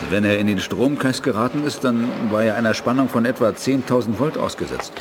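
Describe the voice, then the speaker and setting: An actor playing a skeptical professor in an audio drama. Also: male voice, medium pitch, fairly quick, natural voice. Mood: incredulous. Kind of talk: 1980s German audio drama acting. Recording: clear background noise. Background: noise.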